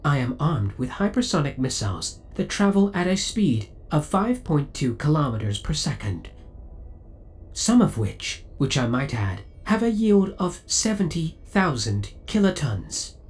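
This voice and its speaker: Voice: medium pitch, very animated, a little slow. An actor voicing a possessive, devoted spaceship AI in an audio roleplay, speaking in a formal, precise, clinical and politely menacing way. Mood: coolly jealous. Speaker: voice actor playing a ship's AI. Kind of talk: scripted ASMR audio roleplay acting.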